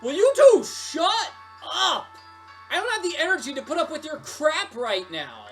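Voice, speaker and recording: A woman's voice: medium pitch, expressive, a little fast, soft background music, close to an everyday voice. A woman voicing a fed-up, sarcastic young male pizza worker who complains bitterly in a story. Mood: angry ranting. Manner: exasperated, complaining.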